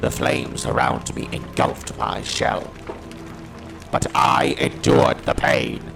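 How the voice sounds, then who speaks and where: A man playing a cold, proud, fanatical war machine in an audio drama, speaking in halting, declamatory bursts with a harsh electronic modulation. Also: music in the background. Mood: grim, determined. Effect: robotic voice modulation. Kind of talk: dramatic first-person narration in audio drama.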